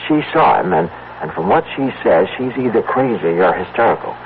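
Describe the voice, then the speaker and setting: Low-voiced worried husband, old radio. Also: male voice, sing-song. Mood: urgent, pressing. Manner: halting, pressing questions. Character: worried, insistent husband phoning a doctor secretly. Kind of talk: old-time American radio drama acting. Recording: muffled, noisy old radio recording.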